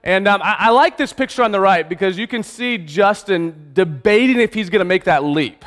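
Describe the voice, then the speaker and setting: A man's voice: high-pitched, moderate pace, a bit bright. A man in his thirties preaching a sermon on a church stage, earnest and emphatic, drawing out key words and restarting phrases. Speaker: pastor. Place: church stage in a large hall. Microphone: stage mic, far camera. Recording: reverberant church hall.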